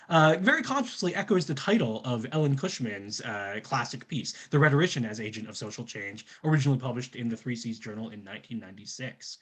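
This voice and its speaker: A man of medium pitch presents academic material with animated, varied intonation. His phrasing is formal and careful, with a polite tone, as in a partly scripted conference talk. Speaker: PhD candidate, co-presenter.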